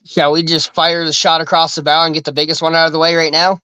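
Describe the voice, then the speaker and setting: A man co-hosting a casual chat, congested, speaking in a dry, deliberate way and correcting the others. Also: fairly high pitch, flat delivery, bright, forceful tone, smooth, no echo. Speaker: co-host.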